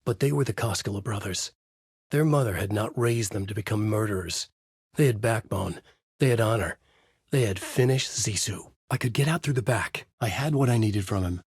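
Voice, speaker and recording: Husky, brooding writer narrating darkly. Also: male voice, lowish voice, a little mellow, no echo, studio quality. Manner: grave, deliberate, moody storytelling. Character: brooding male writer narrating his dark thriller story. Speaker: video game voice actor. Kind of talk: dramatic noir narration.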